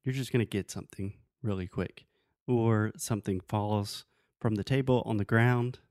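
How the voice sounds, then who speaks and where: Relaxed male English teacher, dry sound. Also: lowish voice, normal speed, no room sound. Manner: relaxed, teasing, explains patiently with examples. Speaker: podcast co-host, English teacher. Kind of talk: casual teaching chat.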